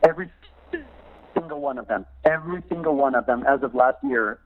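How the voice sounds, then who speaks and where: A middle-aged man calling in as a missionary guest, telling stories in a lively, animated way and laughing often. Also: medium pitch, fairly quick, a little hiss or hum. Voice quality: laughs often while talking. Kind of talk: phone interview, storytelling. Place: calling in from abroad. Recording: choppy phone line.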